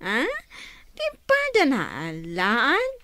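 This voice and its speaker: Insolent, high-voiced Ilocano young wife. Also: female voice, wildly swooping pitch, drawn-out words, bright, forceful tone, no room sound, heavily acted. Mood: mocking. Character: insolent, taunting young wife who talks back. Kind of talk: Ilocano radio drama acting.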